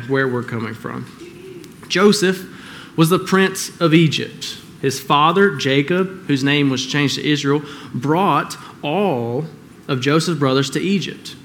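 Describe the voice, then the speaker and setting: A man's voice: a little high, fairly bright tone, slight background noise. A man preaching a sermon in a conversational way, often checking in with the congregation with questions. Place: church.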